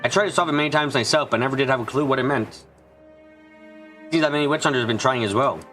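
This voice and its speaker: Male fan-dub narrator for a visual novel: brisk pace, forceful bright tone, expressive read. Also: medium pitch, soft background music. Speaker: fan dubber reading a visual novel aloud. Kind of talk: scripted narration with character dialogue, fan dub.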